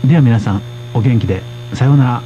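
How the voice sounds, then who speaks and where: A man hosting a radio language course, speaking in a polite, steady way as he interprets for his guests. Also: medium pitch, racing, dull audio, a little hiss or hum. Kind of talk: radio lesson presenting.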